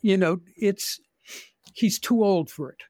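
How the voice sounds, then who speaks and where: An older man with an American accent giving opinionated, deliberate political commentary in conversation as a podcast guest. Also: high voice, darkish tone, no echo, clean studio sound.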